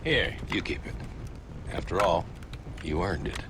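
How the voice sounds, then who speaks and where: An actor playing a grave, fatherly older marshal in a western video game, somber and measured. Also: low-pitched, expressive, noisy background. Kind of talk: video game cutscene acting.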